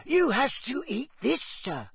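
Very high squeaky house elf. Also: male voice, huge pitch swings, measured pace, creaky, breathy edge, no room sound, studio quality, full character voice. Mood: earnest. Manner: talks of self in third person, deferential. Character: small male house elf, squeaky, servile, urgent, earnest. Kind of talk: audiobook character voice.

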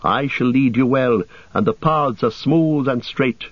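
A man playing a proud, stern elf guard captain in an audiobook. The voice lifts above the narrator's low tone and stays formal and unbending. The recording is dry and a little dull. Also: a little slow, no echo, lightly acted. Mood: firm.

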